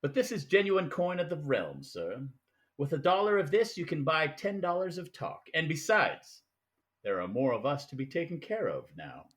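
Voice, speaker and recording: An actor playing a courtly, verbose, calculating crime boss in a radio play, with a pompous, formal and elaborately polite manner. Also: male voice, a little high, big pitch swings, moderate pace. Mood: smug, courtly. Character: courtly, verbose, calculating male criminal mastermind. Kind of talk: 1940s-style radio play acting.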